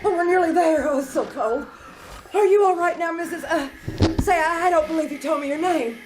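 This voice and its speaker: A woman playing a kindly, brisk, encouraging traveler in 1940s radio drama style. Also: very high-pitched. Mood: urging on. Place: small theater stage. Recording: live stage recording, background noise.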